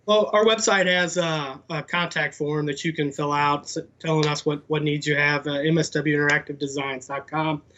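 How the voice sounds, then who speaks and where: A man's voice: a little high, steady tone, normal speed, hardly any pauses, sharp tone, dull audio, slight background noise. A middle-aged man chatting casually as a guest, joking dryly. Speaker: guest, web designer and father. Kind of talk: casual chat.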